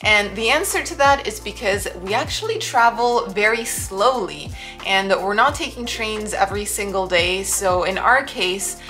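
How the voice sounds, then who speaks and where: A woman's voice: medium pitch, pushed and bright. A young woman sitting in a hotel room, chatting to the camera as she explains how they travel. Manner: chatty, informative, friendly. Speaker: travel vlogger. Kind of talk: explanatory vlog talk.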